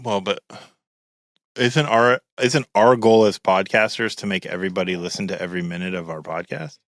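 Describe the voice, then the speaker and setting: Man, deep low voice, deadpan delivery, dry close mic. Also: moderate pace, dry recording. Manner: deadpan, earnest mock-serious. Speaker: podcast co-host. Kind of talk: casual chat.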